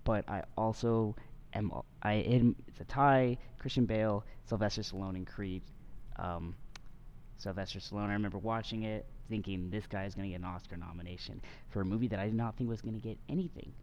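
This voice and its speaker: A man with a lowish voice, relaxed and joking as he co-hosts a casual podcast about movies. He talks loosely and fills gaps with ums and restarts. A faint hiss of noise sits under the recording. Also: fairly quick, muffled recording. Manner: laid-back, joking, many fillers. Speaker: podcast co-host.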